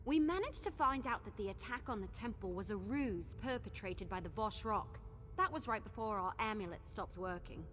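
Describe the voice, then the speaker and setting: An actress playing a caring, expressive female ally in a fantasy game, speaking in a chatty way. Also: medium pitch, normal speed, faint music behind, lightly acted. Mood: concerned, wry. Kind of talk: scripted fantasy game acting.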